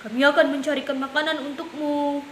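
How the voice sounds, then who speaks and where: A woman's voice: sits high, level tone, a little fast, natural voice. A woman voicing a kindly, helpful storybook buffalo in an earnest, emphatic, reassuring way. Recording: echoey room with hiss.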